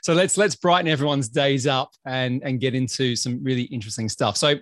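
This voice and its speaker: A man's voice: mid-range voice, steady tone, fairly quick, fairly bright tone, no room sound, clean studio sound. A man from England hosting a podcast interview, chatty and enthusiastic, often restarting his phrases. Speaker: podcast host.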